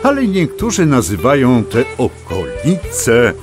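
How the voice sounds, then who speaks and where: An actor playing a wordy, old-fashioned storyteller who narrates a children's fable with pompous, digressive relish. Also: male voice, mid-range voice, wildly swooping pitch, takes it slowly, over music. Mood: wry, musing. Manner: pompous, digressive, savoring each word.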